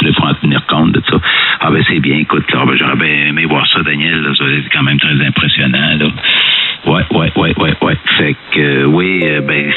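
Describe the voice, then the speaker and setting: A man with a Québécois French accent talking casually over amateur radio, hesitant and often repeating himself. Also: extremely low pitch. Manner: hesitant, repetitive. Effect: two-way radio transmission. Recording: narrow-band amateur radio with hiss.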